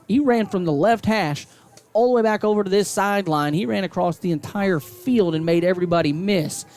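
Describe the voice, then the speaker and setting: A man calling high school football play-by-play on radio, building to excited calls on big plays. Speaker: play-by-play announcer.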